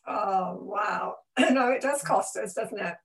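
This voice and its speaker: A woman's voice: medium pitch, slow pace. Eighty-year-old woman, reflective radio pastor. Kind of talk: casual, reflective conversation.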